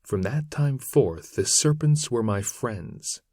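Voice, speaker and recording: A man narrating an old epic poem aloud in a solemn, measured, theatrical manner with archaic phrasing. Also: mid-range voice, lively intonation, mellow, dry recording, clean studio sound. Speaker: narrator reading poetry aloud. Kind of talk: dramatic recitation of an epic poem in archaic English.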